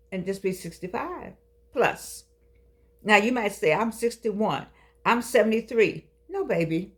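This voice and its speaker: Older lady hosting her own show, kinda high voice, sassy and preachy, drags words out. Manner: playful, preachy, coaxing, with dramatic drawn-out phrases. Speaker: host. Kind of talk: casual talk show monologue. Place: home studio with keyboard.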